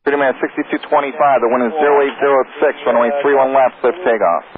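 A man working as an air traffic controller, giving clipped, brisk, procedural instructions over radio. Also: mid-range voice, fairly even intonation, husky, narrow, tinny audio. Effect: radio transmission.

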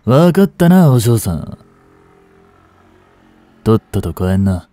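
A man acting as a cynical, aloof young street delinquent in a voice drama, with rough, casual, lazily teasing speech, close to the mic. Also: lowish voice, big pitch swings, takes it slowly, warm tone, no echo. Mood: mocking, threatening. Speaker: voice actor. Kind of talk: Japanese voice drama aimed at women, one-sided dialogue. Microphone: close studio mic. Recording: dry close-miked studio recording.

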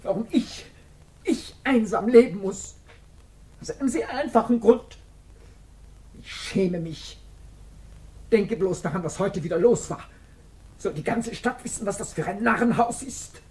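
A woman's voice: medium pitch, expressive, measured pace, long pauses, darkish tone, lightly acted. An actress playing a stern, domineering middle-aged businesswoman in a 1950s radio drama, reproachful and scornful. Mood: cold, wounded.

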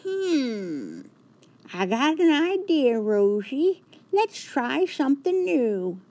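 A woman's voice: sits high, big pitch swings, takes it slowly, dark tone, voice pushed up, full character voice. A woman voicing a cheerful grown man who owns a dog, speaking with an eager, coaxing manner. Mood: enthusiastic. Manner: enthusiastic, coaxing.

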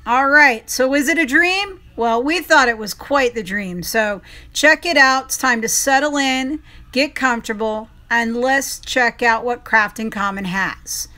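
A woman's voice: fairly high pitch, measured pace, bright, forceful tone. A woman hosting a local show, narrating with chatty enthusiasm and easy fillers. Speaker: host. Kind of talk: upbeat local promo narration.

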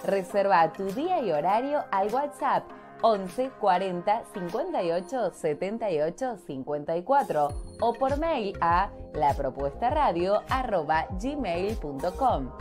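A woman's voice: mid-range voice, lively intonation, measured pace, a little mellow. A woman with an Argentine accent reading a scripted promotional spot as an announcer. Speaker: advertising announcer. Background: music.

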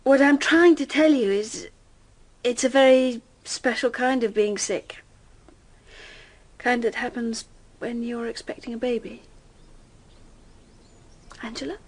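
Breathy, hesitant young British woman. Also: a little high, slow, long pauses. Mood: confiding. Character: young adult daughter, hesitant, tender, newly pregnant. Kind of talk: British radio drama acting.

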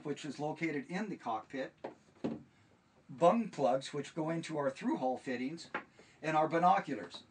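A man's voice: on the high side, recorded from a distance, a little hiss or hum. Man giving a methodical boat tour. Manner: steady, methodical listing of equipment. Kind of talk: walkthrough tour, instructional. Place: inside a small sailboat cabin.

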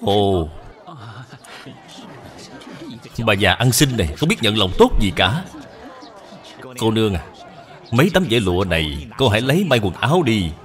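A man's voice: fairly low pitch, sing-song, brisk, a faint crowd. Smug, wheedling rich man, swooping intonation. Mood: scornful. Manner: oily, condescending. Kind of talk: dubbed cartoon drama acting.

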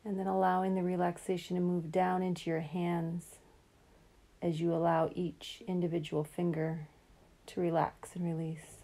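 A woman guiding a relaxation meditation in a calm, soothing, unhurried way, leaving long pauses between phrases. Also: lowish voice. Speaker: meditation guide.

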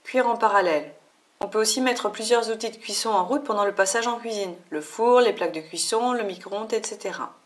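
A woman reading a scripted voice-over for her own cooking video. Her pitch sits in the middle range. Her delivery is clear and upbeat, like a tutorial. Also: a little fast. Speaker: cooking channel host.

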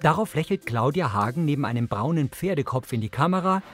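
A man's voice: fairly high pitch, expressive, quick pace, a bit bright, soft background music. A man reading scripted audio description of a drama as its narrator.

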